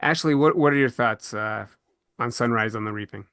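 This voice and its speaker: A man with a medium-pitched voice moderates a podcast panel as co-host. He speaks conversationally and puts questions to guests. The sound is dry and close. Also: normal speed, fairly bright tone, smooth, dry recording.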